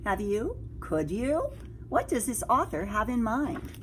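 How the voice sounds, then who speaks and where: A woman teacher reading a picture book aloud to children, expressive and exclaiming, often breaking off to draw her listeners in with questions. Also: fairly high pitch, a little slow, noisy background. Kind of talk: read-aloud storytelling with asides and questions to young listeners.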